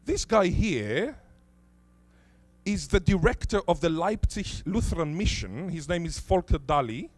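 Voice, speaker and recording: A man's voice: on the high side, big pitch swings, no room sound. Man lecturing with rhetorical questions. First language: Hebrew. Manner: rhetorical questions, deliberate emphasis. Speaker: conference speaker. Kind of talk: academic conference talk. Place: lecture hall at a conference.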